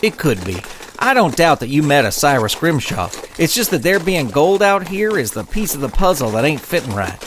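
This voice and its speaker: A man's voice: on the high side, sing-song, normal speed, a bit bright, a little hiss or hum. A man playing a good-natured, skeptical western lawman fish in a comic audio drama, with folksy, hesitant phrasing. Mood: cautious.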